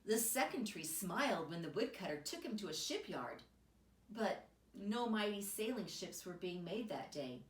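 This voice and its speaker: A woman reading a children's picture book aloud as an expressive storyteller, recorded in a roomy space away from the microphone. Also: mid-range voice, moderate pace. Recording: roomy echo, distant microphone.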